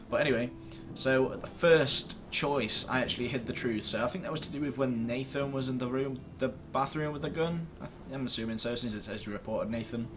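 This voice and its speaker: Man, mid-range voice, casual gaming commentary, rambling, self-deprecating, noisy home recording at a computer. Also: medium pitch. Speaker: host of a gaming video series. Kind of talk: casual gameplay commentary to camera. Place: indoors at a computer screen.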